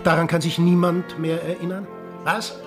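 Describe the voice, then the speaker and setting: An actor playing a blunt, combative, sardonic sailor in a radio drama. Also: male voice, fairly high pitch, flat delivery, moderate pace, over music, lightly acted. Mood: sardonic, accusing. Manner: sardonic, gruff, confrontational. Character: blunt, combative sailor accusing villagers of wartime murder.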